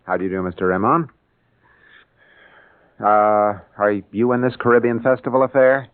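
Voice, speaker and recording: A man plays a thoughtful, mild-mannered American father in middle age. His voice sits low and sounds earnest. The recording is a thin, band-limited vintage radio drama. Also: fairly even intonation, normal speed, smooth, close to an everyday voice. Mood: curious, friendly. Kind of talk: old-time radio drama acting. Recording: tinny, band-limited old radio broadcast.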